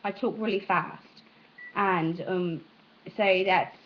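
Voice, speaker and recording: A woman's voice: lowish voice, fairly even intonation, slow, slight background noise. A young American woman from the South speaks in an adopted British accent, chatting casually and hesitantly as she meanders and interrupts herself. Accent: British, put on by an American. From Southern United States. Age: young adult. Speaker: amateur vlogger. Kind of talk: casual rambling chat to camera. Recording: dull, lo-fi sound.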